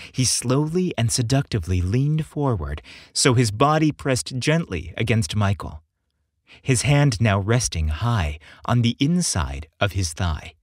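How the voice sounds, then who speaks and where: Slow, expressive male storyteller. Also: medium pitch, dry recording, clean studio sound. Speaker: host and narrator of a story podcast. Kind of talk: dramatic scripted storytelling narration.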